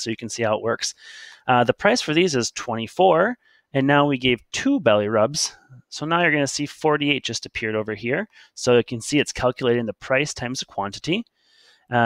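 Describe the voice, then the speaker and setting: A man presenting software in a casual, conversational tutorial style, explaining things step by step. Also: mid-range voice, dry recording. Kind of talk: casual screen-share tutorial narration.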